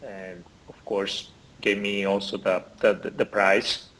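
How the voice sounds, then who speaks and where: A man's voice: lowish voice, level tone, slow, fairly bright tone, poor audio. A man in his forties from Italy with an Italian accent, chatting as an interview guest over a video call, hesitant with many fillers and restarts. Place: indoor room. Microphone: laptop or phone camera on video call.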